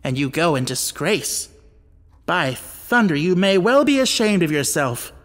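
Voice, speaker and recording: A man's voice: on the high side, big pitch swings, unhurried, big-room sound. A man voicing a stern, commanding baronet in an audiobook mystery, blunt and indignant as he questions. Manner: blunt, demanding, forceful questioning.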